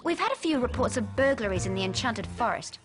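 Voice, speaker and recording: A woman voicing a sharp, sarcastic cartoon detective with a dry delivery. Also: a little high, animated, quick pace, over music, close to an everyday voice.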